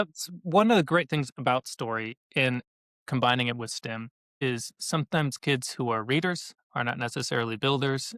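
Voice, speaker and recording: A man with a mid-pitched voice talks conversationally as an interview guest. He explains by example and slips into quoted remarks, in a very dry recording. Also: a bit bright, no room sound. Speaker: podcast guest, educational activity creator.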